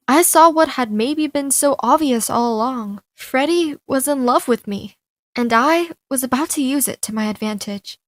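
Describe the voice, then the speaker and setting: A woman narrating an animated story as a fan dub, expressive, theatrical and sassy. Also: high-pitched, dry recording. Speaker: fan dubber narrating and voicing an animated teen.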